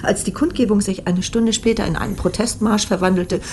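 An actress playing a serious, skeptical woman investigator in a radio play, matter-of-fact and deliberate. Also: medium pitch, fairly even intonation, a little mellow, faint music behind, lightly acted. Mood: dryly explaining. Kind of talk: radio play acting, storytelling.

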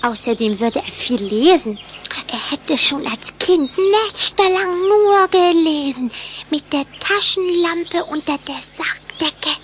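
A woman playing a smitten, headstrong little vampire girl in a German children's audio drama, speaking with coquettish, expressive emphasis. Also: high voice, slow pace, slight background noise. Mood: confiding.

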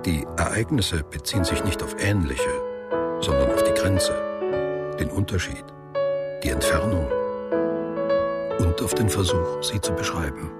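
Deep male narrator for a literary radio drama, measured, factual, loud music behind. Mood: matter-of-fact. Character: sober male narrator recounting a traveller's return.

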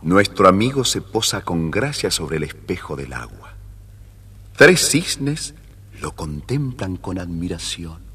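A man's voice: low-pitched, very animated, slow, bright, forceful tone, a little hiss or hum. A man narrating a scripted children's story expressively as storyteller.

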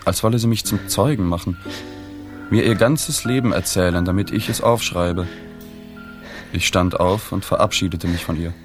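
A reflective male journalist, played by an actor narrating a German radio play in a deep voice. He is reserved and sober, telling it in measured, understated phrases over clearly audible music. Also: close to an everyday voice. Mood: detached melancholy. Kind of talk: radio drama first-person narration.

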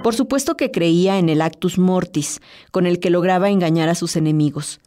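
A woman's voice: on the low side, normal speed. A woman narrating a scripted personal essay as a radio presenter. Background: music.

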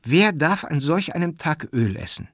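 A man's voice: medium pitch, steady tone, moderate pace, pushed and bright, husky, no echo, studio quality, voice pushed up. A man voicing a spiteful, strict and devout woman with a scornful, sarcastic manner in an audiobook. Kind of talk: audiobook character acting.

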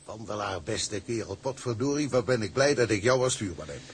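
An actor playing a weary, sentimental older tugboat captain in a Dutch radio drama, halting and fatherly. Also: fairly low pitch, lively intonation, a little hiss or hum, lightly acted. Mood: warm, uneasy.

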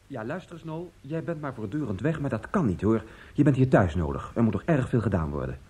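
Stern brother, radio drama: husky, mellow, admonishing and formal. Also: male voice, medium pitch, very animated, fairly quick. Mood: reproachful, insistent. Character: stern, dutiful brother organising family mourning. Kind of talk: Dutch radio drama acting.

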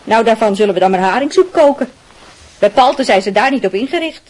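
Woman playing cheeky bossy woman of the house, Netherlands Dutch accent, highish swooping voice, radio drama. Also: animated, a little fast, a little mellow, a little hiss or hum, natural voice. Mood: teasing, brisk.

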